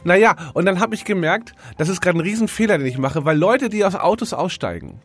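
A man's voice: high-pitched, animated, fast talker. A man with a cold co-hosting a radio show, telling rambling, self-mocking anecdotes in casual banter. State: has a cold, congested. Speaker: radio co-host. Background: faint music.

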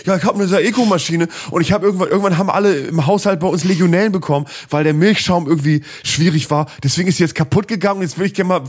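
A man's voice: high-pitched, very fast, few pauses. A middle-aged man in casual podcast chat, telling rambling sarcastic stories and swearing casually. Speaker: podcast host. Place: Cologne.

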